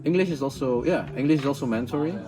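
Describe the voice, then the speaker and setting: A man's voice: medium pitch, racing, music in the background. A young man with a Flemish accent, chatting casually as a local guide, full of "like" and "uh" fillers. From Flemish part of Belgium. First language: Dutch. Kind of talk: casual explaining chat.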